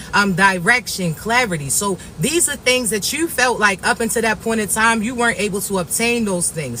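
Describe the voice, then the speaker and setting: Raspy man giving a spiritual message. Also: high-pitched, pushed and bright, a little hiss or hum, rough recording. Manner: slow, repetitive, reassuring, often asks "all right?". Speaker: spiritual reader.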